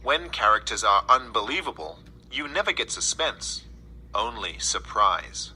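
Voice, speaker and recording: A man reading a first-person essay aloud, with emphatic, deliberate phrasing. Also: on the low side, very animated, sharp tone, husky, rough recording. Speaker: reader reading an article aloud.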